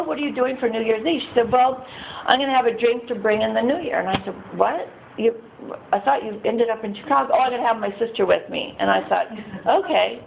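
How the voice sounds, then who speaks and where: A shy woman with a soft voice telling her recovery story at a meeting, rambling and laughing often as she acts out what others said. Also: fairly high pitch, creaky. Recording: tinny phone-like, faint noise.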